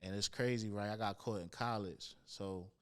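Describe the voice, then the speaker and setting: A young man with a nasal voice, a rapper guest in a casual interview, telling stories in relaxed slang on a studio mic. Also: fairly low pitch, moderate pace, clear, resonant tone. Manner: laid-back slang-heavy storyteller. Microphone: studio podcast mic near the nose.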